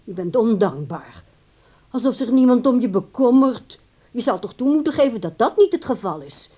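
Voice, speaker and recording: An actress playing a dutiful grown daughter in a radio play, scolding and reproachful. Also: female voice, a little high, warm tone, breathy edge, heavily acted. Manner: reproachful, nagging.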